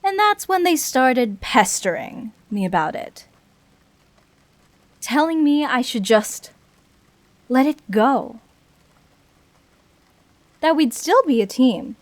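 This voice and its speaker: A woman voices a fallen heroine turned villain in an intimate audio drama. Her voice sits fairly high and moves very slowly, with weighty pauses between phrases. She is embittered and fiercely protective, speaking as one making an emotional confession. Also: very animated, heavily acted. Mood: tender, confessional. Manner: deliberate, dramatic, pausing between short phrases.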